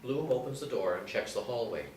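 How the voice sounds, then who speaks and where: A man's voice: medium pitch, lively intonation, fairly quick, darkish tone. A man narrating, reading out the action lines of a screenplay in a scripted style. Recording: echoey room, distant mic, faint noise.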